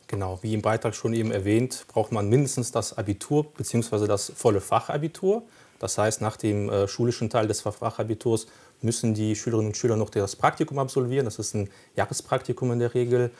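A man's voice: lowish voice, a little fast, a little mellow. A man whose first language is Russian, working in police recruitment, answering interview questions factually with frequent hesitations. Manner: factual, explaining with frequent fillers. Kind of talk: studio interview guest.

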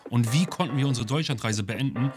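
A man's voice: medium pitch, brisk. A man from Oldenburg narrating a travel show in scripted comedic voice-over with an ironic, joking manner. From Oldenburg, Germany. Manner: ironic, joking, lively delivery. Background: music.

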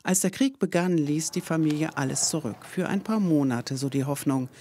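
A woman's voice: sits low, a little hiss or hum. Woman narrating a news report. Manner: measured, even voice-over delivery.

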